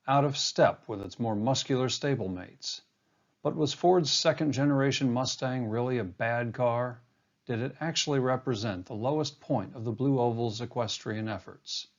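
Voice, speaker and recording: A man giving a scripted documentary narration in an even, monotone delivery, recorded as a voice-over. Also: medium pitch, muffled recording. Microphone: home-recorded voice-over.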